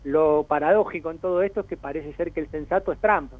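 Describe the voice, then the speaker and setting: A man's voice: a little high, brisk. A man with an Argentine accent giving spontaneous, discursive analysis as an interview guest, often voicing imagined quotes to make his points. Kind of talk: spontaneous explanatory commentary. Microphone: telephone call. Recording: narrow tinny phone line.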